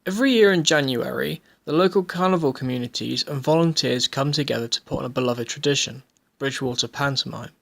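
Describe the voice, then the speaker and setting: A man's voice: medium pitch, fast, vocal fry, a bit bright. A young adult man, narrating a documentary from a script.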